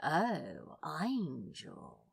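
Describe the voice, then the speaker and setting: A woman's voice: sits low, huge pitch swings, extremely slow, gravelly, breathy edge, no room sound, exaggerated voice. A woman voicing a sardonic, teasing male demon in a fan fiction audiobook, with a cocky, leering drawl. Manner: drawling, leering, mock-seductive.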